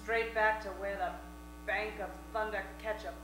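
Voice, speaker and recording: A woman's voice: mid-range voice, level tone, moderate pace, noisy background, lightly acted. A woman playing a haughty, exasperated heiress in a comic radio play, dramatic and scornful. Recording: echoey hall with music behind.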